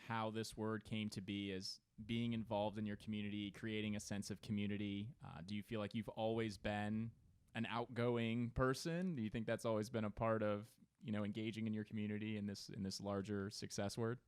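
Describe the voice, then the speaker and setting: A man hosting a radio interview, asking questions in a measured, even way. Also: on the low side, clean tone. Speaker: radio host.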